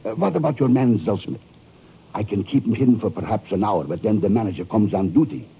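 An actor playing a polite, anxious hotel manager who helps in secret, speaking formally and hesitantly in a 1950s radio drama. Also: male voice, on the low side, expressive, fast, warm tone, slightly rough, heavily acted. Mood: hesitant deference. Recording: muffled, noisy old radio broadcast.

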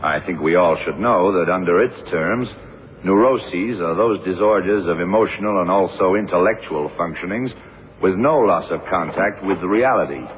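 A man's voice: sits low, moderate pace, lightly acted. A man playing a brusque, stoic, unsentimental blind detective in an old radio drama. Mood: measured, hesitant. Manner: curt, hard-boiled. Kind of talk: 1960s-70s radio drama acting. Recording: tinny, hissy old radio broadcast.

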